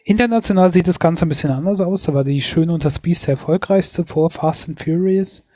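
Male podcast co-host, mellow tone, dry close sound, casual and chatty. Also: fairly high pitch, brisk, dry recording. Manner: chatty, hedging with fillers. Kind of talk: casual chat, year-in-review.

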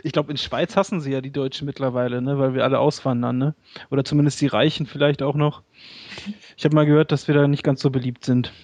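Ein Mann, der als Co-Gastgeber im Podcast locker plaudert und oft dazwischen lacht. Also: male voice, mid-range voice, level tone, fast, a little mellow, no room sound, lo-fi sound. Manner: chatty, laughs often. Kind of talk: casual chat.

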